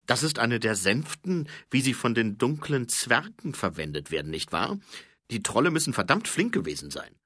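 Fat old storybook sergeant, gruff and blustering, loud and husky; man narrator. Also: mid-range voice, sing-song, normal speed, no echo, studio quality, pitched above the performer's own voice. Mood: grumbling. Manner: blustering, grumbling. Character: fat older male sergeant, gruff, grumbling, prejudiced.

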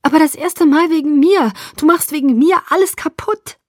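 A woman's voice: high-pitched, animated, moderate pace, no echo, studio quality, voice pushed up. A woman voicing a frightened, defiant teenage girl in an audiobook story. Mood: desperate pleading.

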